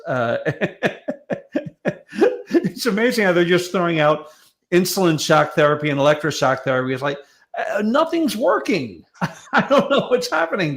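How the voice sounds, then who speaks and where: Male panel guest, slightly high voice, wry, stop-start casual comic chat. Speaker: guest commentator.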